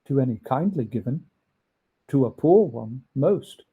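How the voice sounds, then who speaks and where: A man voicing a gigantic, genial yet admonishing spirit with emphatic, deliberate delivery in a storybook reading. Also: mid-range voice, expressive, husky, narrow, tinny audio, exaggerated voice. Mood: genial, firm. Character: gigantic male Christmas spirit, genial but solemnly admonishing.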